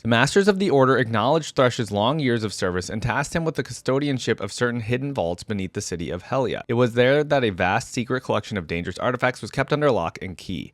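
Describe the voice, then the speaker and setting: Low-pitched man hosting a reaction video. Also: no echo. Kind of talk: casual reaction commentary mixed with reading lore aloud.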